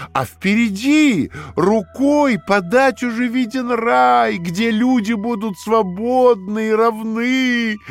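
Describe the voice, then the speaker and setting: An actor playing a calm, condescending party interrogator who lectures in a measured, fanatical way in an audio drama. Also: male voice, high voice, heavily acted. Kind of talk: dramatic audio play acting.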